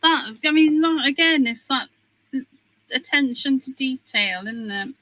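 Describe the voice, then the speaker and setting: A woman chatting casually, softly admiring each paper with lots of "oh" and "mm". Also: high-pitched, lively intonation, unhurried, no echo, rough recording. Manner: admiring, soft reactions, lots of "oh" and "mm". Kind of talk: casual chat.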